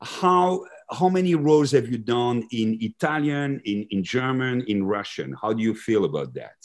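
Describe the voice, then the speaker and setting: A man in his fifties hosting a conversational interview over a video call, halting and repeating phrases as he thinks. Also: medium pitch, animated. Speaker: host, conductor. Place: large living room, video call.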